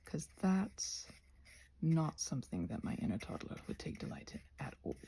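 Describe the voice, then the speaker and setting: A woman chatting casually and playfully while crafting, often interrupting herself with trailing asides, her voice breathy and often dropping to a near-whisper. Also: low voice, wildly swooping pitch, moderate pace, warm tone, a little hiss or hum. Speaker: craft and ASMR video creator. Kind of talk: casual rambling vlog chat while crafting.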